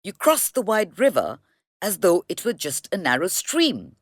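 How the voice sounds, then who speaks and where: A woman reads as an important man used to being obeyed, kindly yet commanding. The delivery is slow and measured with big swings in pitch, in a dry storybook recording. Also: huge pitch swings, no room sound. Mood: approving. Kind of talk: children's storybook read-aloud.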